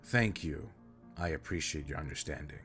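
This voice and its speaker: Man playing a very deep-voiced, formal alien captain. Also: fairly bright tone, soft background music. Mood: concerned, apologetic. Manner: stiff, formal, precise and measured wording. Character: formal, earnest, caring male alien spaceship captain. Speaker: audio roleplay voice actor. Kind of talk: scripted roleplay audio drama, addressing the listener.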